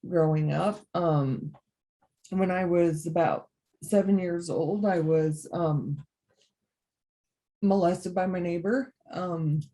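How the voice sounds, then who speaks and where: A young woman from Utah, a guest on a podcast, recounts her past in an earnest, emotional way. She pauses often and fills the gaps with ums, and her voice sits a little low. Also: warm tone. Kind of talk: personal story.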